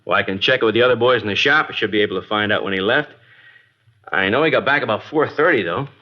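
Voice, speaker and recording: A man's voice: fairly low pitch, fast, close to an everyday voice. A man acting a guarded, reluctant working-class garage owner in an old-time radio drama, colloquial and grudging. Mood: cooperative. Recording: dull vintage radio broadcast.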